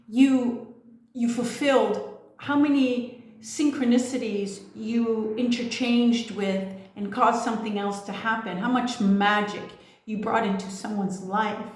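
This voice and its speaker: A woman's voice: on the high side, steady tone, slow, darkish tone. A woman giving an impassioned, profane monologue as a spiritual coach, firing off rhetorical questions and swearing freely. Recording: very echoey room.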